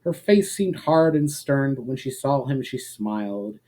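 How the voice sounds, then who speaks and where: A man narrates a fantasy tale as a dramatic reading. His voice is mellow and medium in pitch, and his storytelling is measured and expressive. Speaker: author reading own story.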